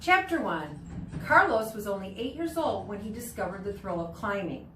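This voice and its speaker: A woman's voice: medium pitch, sing-song, sharp tone. A woman teacher reading a children's nonfiction book aloud to students in an explanatory way. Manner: explanatory, pauses to explain words and pictures. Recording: roomy, distant mic with background noise.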